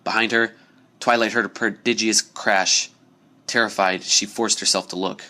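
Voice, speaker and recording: A man's voice: lowish voice, steady tone, normal speed, sharp tone. A man reading a scripted story aloud as narrator, in a dramatic storytelling style.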